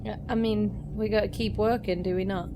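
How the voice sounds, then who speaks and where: A woman's voice: mid-range voice, fairly even intonation, a little fast, background noise. A woman playing a tabletop game, talking casually and playfully with the group.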